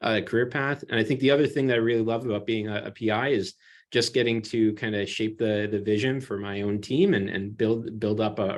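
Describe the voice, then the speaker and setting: A man speaking as an academic research panelist, introducing himself on a webinar with frequent pauses and ums. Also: lowish voice. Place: remote webinar call.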